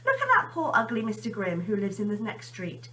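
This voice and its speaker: Kind mother for a children's story, woman reading: reassuring, bright, animated intonation. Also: mid-range voice, fairly quick, distant mic, slight background noise. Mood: gently encouraging. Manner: reassuring, encouraging.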